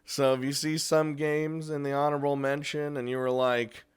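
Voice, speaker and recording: A man's voice: on the high side, level tone, normal speed, smooth. A man hosting a casual, unscripted countdown of games, chatty and crude, swearing freely. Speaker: video host and game reviewer.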